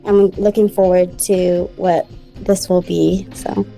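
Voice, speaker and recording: A woman's voice: fairly low pitch, little pitch movement, slow, creaky, warm tone, faint music behind, poor audio. A woman who grew up in the Philippines, answering interview questions in a rambling, casual way with many "like"s and frequent laughter. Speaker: guest, small business founder.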